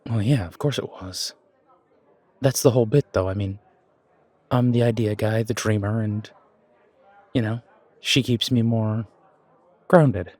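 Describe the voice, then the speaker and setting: Man playing a low-voiced, self-doubting young-at-heart chef. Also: a little slow, pauses often, darkish tone. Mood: sheepish. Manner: casual, hesitant, slangy. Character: self-doubting male chef, casual dreamer opening his restaurant. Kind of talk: fan audio roleplay acting.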